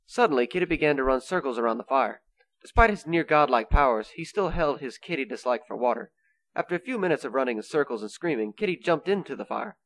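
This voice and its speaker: A man's voice: mid-range voice, lively intonation, quick pace, fairly bright tone, no echo, rough recording. A male narrator reads scripted storybook narration for a radio play. His mid-range voice moves up and down a lot, and the sound is dry.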